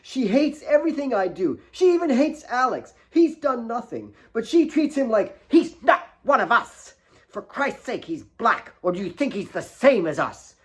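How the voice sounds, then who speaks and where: A fourteen-year-old girl in an audiobook story, played by a male narrator. Her voice is raised well up in pitch. She is defiant and sharp-tongued, spitting out heated complaints. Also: sing-song, normal speed. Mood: angry, indignant.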